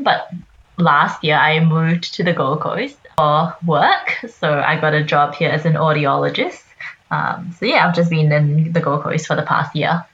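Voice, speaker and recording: A young woman co-hosting a podcast, chatting casually with giggles and many likes and ums. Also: low voice. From Singapore and Australia. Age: young adult. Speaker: podcast co-host, audiologist. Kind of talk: casual chat. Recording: dull lo-fi recording.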